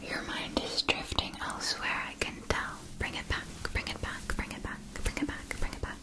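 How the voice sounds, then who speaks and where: Woman playing a softly whispering, gentle witch-school wand seller. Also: close to an everyday voice, low-quality recording. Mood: gently coaxing. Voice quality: breathy whisper. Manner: slow, soothing, deliberate, with hushed repetitions. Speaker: ASMR performer. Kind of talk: whispered ASMR roleplay, scripted.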